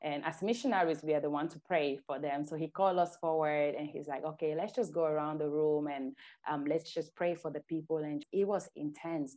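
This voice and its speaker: Interview guest: woman, expressive and sincere, talks in personal anecdotes with emphatic repetition. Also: sits low. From Cameroon. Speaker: interview guest, ministry leader. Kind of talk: conversational personal storytelling.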